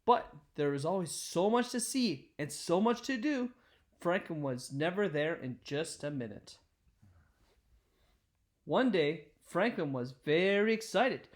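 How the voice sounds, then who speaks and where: Higher-voiced man reading a children's story. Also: big pitch swings, unhurried. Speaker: storyteller reading a children's picture book aloud. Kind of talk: expressive children's read-aloud narration.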